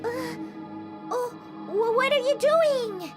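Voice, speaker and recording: A woman's voice: extremely high pitch, expressive, slow pace, exaggerated voice. A woman voicing an innocent, curious, earnest little prince, a small boy in a children's cartoon. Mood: curious, surprised. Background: music.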